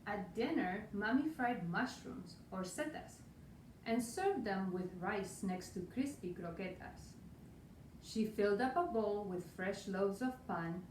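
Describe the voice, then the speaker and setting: Spanish-accented woman reading aloud from afar. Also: mid-range voice. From Spain. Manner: lively, rhythmic read-aloud with Spanish words. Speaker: storytime reader. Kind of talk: reading a picture book aloud. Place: small room indoors. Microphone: far camera. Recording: roomy, distant, faint hiss.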